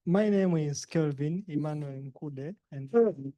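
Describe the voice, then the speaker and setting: A man's voice: fairly high pitch, slow pace, warm tone, dry recording, muffled recording. A young man from Tanzania with a Tanzanian accent, a native Swahili speaker, giving a talk with frequent hesitations and restarts. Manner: hesitant, many fillers and restarts. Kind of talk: presentation talk.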